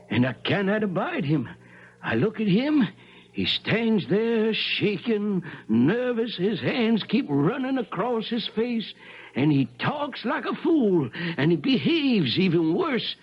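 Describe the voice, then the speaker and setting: An actor playing a troubled, pious, quick-tempered old preacher in a halting rustic manner, in a 1970s radio drama. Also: male voice, high voice, sing-song, breathy edge, exaggerated voice. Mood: anguished, tormented. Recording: dull lo-fi 1970s radio broadcast.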